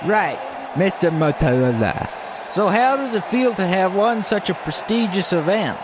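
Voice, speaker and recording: A man playing an excitable, rambling TV field reporter in a comedy radio sketch, shouting his live report. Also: high-pitched, a little slow, crowd noise, noisy background. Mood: excited. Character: excitable male TV field reporter at absurd Olympics. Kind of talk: parody live sports reporting.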